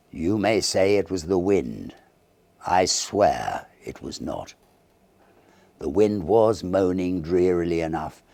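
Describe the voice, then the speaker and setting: A man reading a Victorian ghost story as an audiobook narrator, theatrical and expressive, with deliberate phrasing. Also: sits low, gravelly, slightly rough, no echo.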